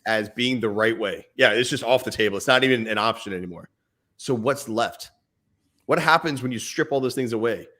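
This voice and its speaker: A man's voice: mid-range voice, a little fast, bright, forceful tone. A man co-hosting a fatherhood podcast, talking casually and animatedly, acting out little scenes with his kids and laughing often. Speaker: podcast co-host. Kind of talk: casual parenting advice chat.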